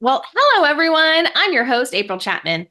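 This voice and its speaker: Podcast host, woman, high and very lively voice, upbeat and chatty. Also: brisk, soft background music. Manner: upbeat, chatty, laughs easily. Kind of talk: podcast interview.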